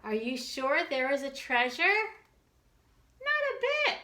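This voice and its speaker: A woman voicing eager young children on a treasure hunt in a picture book, excitable, expressive and full of questions. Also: sits high, slow, full character voice. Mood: doubtful, earnest.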